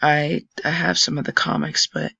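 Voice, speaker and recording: A young man with a raspy voice, casually commenting and thinking aloud while browsing old web pages on a screen recording. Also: fairly high pitch, monotone, moderate pace, bright, forceful tone, dry recording. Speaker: channel host. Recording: dull lo-fi dry recording.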